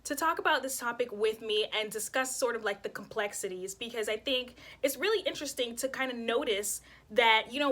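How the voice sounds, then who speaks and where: A woman's voice: mid-range voice, bright, forceful tone. A young woman hosting her vlog, chatting casually to camera with lots of fillers. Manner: chatty, lots of "you know" and "kind of". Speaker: vlog host. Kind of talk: casual talking-to-camera narration.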